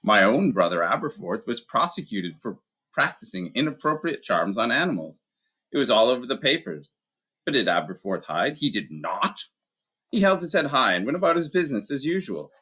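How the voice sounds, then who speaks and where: A man voicing a calm, wise old male headmaster who speaks in a measured, dryly humorous way. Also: medium pitch, husky, pitched above the performer's own voice, full character voice. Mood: wry. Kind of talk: audiobook character voice.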